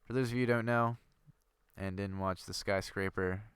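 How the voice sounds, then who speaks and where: A man's voice: on the low side, fairly even intonation, moderate pace, smooth, no echo, clean studio sound. A young man with a stuffy nose from a cold, rambling casually and thinking aloud as he plays and builds. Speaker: gaming video creator. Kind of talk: casual gameplay commentary.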